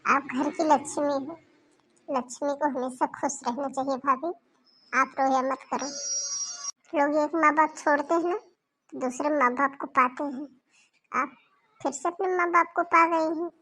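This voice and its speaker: Caring young sister-in-law, voiced by a woman, soft, gentle, reassuring. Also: high voice, steady tone, moderate pace, long pauses, a little hiss or hum, rough recording. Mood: soothing.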